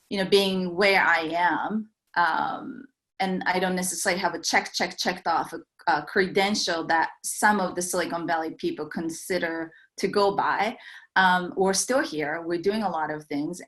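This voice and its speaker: A woman's voice: lowish voice, fairly bright tone. Japanese-born woman speaking earnestly on a call. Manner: earnest, halting, laughs easily. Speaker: startup founder and CEO. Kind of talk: virtual keynote conversation. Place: remote video call.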